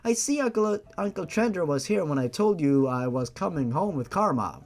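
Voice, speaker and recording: A man voicing a cheerful, affectionate young mother with a soft, girlish manner in a story. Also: a little high, animated, moderate pace.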